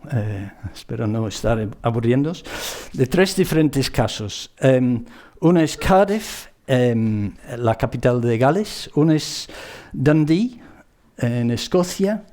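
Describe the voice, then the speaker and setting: Mellow British man presenting, halting delivery. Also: mid-range voice. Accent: British English. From Bristol area, England. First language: English. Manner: halting, searching for words, repeating himself. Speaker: conference speaker. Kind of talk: public presentation with examples.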